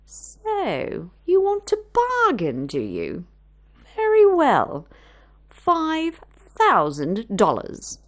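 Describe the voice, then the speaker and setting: A woman's voice: high-pitched, wildly swooping pitch, pitched above the performer's own voice, exaggerated voice. Woman playing a haughty, swooping rich lady. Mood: haughty, calculating. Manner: grand, drawn-out, imperious delivery. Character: haughty, pushy rich lady bargaining to buy.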